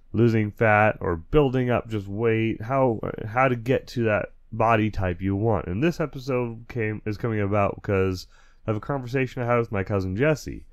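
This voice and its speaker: A lower-pitched man hosting a laid-back solo podcast. He has a cold, so his throat sounds dry and stuffy. He rambles, corrects himself mid-sentence and chuckles now and then. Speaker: podcast host. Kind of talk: casual solo podcast chat.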